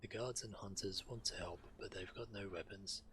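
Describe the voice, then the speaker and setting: A man's voice: fairly low pitch, fairly even intonation, normal speed, fairly bright tone, slight background noise. A man reading video game quest text aloud as a streamer, acting out the quest givers' lines as he narrates.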